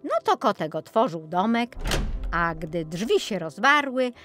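A woman's voice: mid-range voice, big pitch swings, a little slow, music in the background. A woman narrating a children's fairy tale as an audiobook storyteller, reading from a script.

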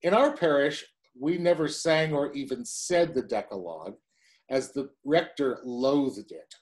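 A man's voice: medium pitch, expressive, unhurried. A man in his sixties, a pastor, preaching a sermon from home to a webcam in a chatty way, with wry asides.

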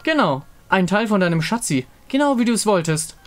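A scheming woman pirate captain, played by a man, cold and defiant. The voice sits fairly high for a man, with music behind the game dialogue. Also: very animated, brisk. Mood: cool, scheming.

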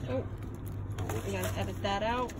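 Casual woman over very loud background noise. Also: normal speed. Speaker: treasure-hunting companion. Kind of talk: casual unscripted chat, naming items. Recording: very noisy handheld recording, engine-like rumble.

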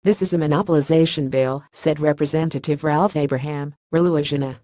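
Synthetic news narrator: mid pitch, dry close sound, steady uninflected read. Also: fairly quick, no echo. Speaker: news reader. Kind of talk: scripted news reading.

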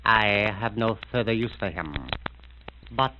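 An actor playing a cold, scheming master criminal who speaks with slow, measured politeness, on an old radio transcription. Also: male voice, on the low side, close to an everyday voice. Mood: coldly polite. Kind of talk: 1930s radio acting. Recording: narrow-band, hissy 1930s radio transcription.

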